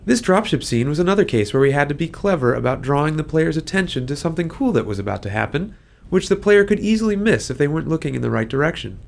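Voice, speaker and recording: Higher-pitched man with heavy vocal fry. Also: expressive, fast, darkish tone. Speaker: game developer giving commentary. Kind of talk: scripted narration, developer commentary.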